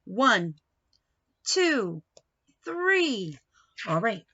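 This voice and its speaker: A woman reading a picture book to young children like a teacher, pausing to explain and put questions to her listeners. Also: on the high side, sing-song, pushed and bright, breathy edge, dull audio. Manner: instructive, questioning, addresses young listeners directly.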